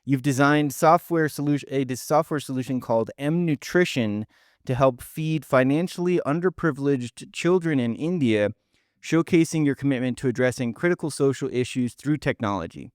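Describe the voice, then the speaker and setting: A man's voice: medium pitch, no echo, clean studio sound. A man co-hosting a podcast, earnestly reading out a guest introduction and then asking questions, with small stumbles. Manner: earnest, measured, slight stumbles. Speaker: podcast co-host.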